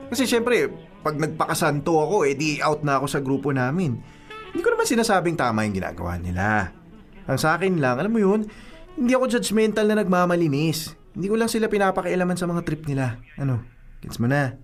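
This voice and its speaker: Radio drama boyfriend: young Filipino, defensive and exasperated, fairly high male voice, music underneath. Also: sing-song, brisk. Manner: colloquial, pleading, argumentative.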